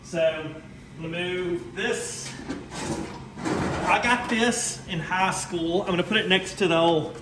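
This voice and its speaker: A man's voice: sits high, takes it slowly, heavy background noise. An American man rambling casually and informally in a folksy way, thinking aloud as he hosts a garage vlog. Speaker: vlog host. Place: home garage workshop.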